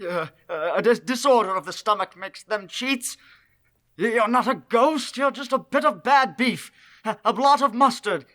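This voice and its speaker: A man's voice: very high-pitched, bright, forceful tone. A man playing a crotchety, fearful old miser in an old-time radio play, stammering and exclaiming theatrically.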